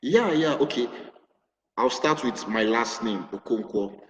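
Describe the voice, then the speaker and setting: A Nigerian man with a Nigerian accent, his first language Igbo, answers interview questions slowly and hesitantly, stopping often to restart. His voice comes over a call and sits in an echoey room with a long reverb trailing behind it. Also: mid-range voice, expressive, a bit bright. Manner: hesitant, many restarts and fillers. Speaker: interview guest, web developer.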